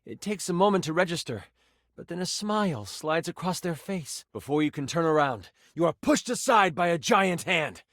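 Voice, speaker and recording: Animated, nerdy game master. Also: male voice, on the high side, moderate pace, no echo, clean studio sound. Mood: suspenseful narrating. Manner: expressive, theatrical, self-conscious. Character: nerdy, eager, bashful male game master. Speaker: tabletop game master character. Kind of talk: animated storytelling in a dating video game.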